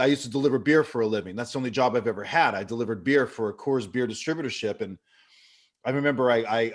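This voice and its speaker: A man in his fifties from California giving an earnest motivational talk, telling stories with many fillers and restarts. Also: medium pitch. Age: 50s. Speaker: business leader speaking at an online event.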